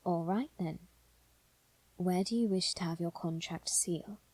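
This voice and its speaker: Woman as a soft, deliberate demon triplet, dry sound. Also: fairly low pitch, no echo. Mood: calm, solemn. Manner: soft, slow, deliberate, dipping toward whisper. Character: calm, probing male demon triplet, quietly intense. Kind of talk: scripted ASMR roleplay acting.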